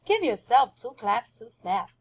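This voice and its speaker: A woman teaching first graders through a read-aloud, speaking deliberately and with emphasis, often questioning the children. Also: fairly high pitch, very animated, noisy background.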